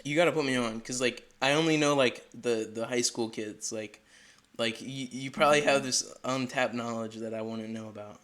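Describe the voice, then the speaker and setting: 19 yr old guy from delaware, excitable interviewer, says like constantly, average pitch. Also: moderate pace. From Delaware, USA. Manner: rambling, full of "like", excitable. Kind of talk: casual interview chat.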